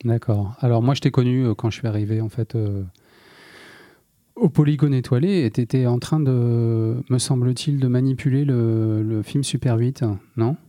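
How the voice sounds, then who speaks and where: Relaxed male interviewer with a darker voice. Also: medium pitch, normal speed, dry recording. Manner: relaxed, informal, with fillers. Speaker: interviewer host. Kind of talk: casual interview.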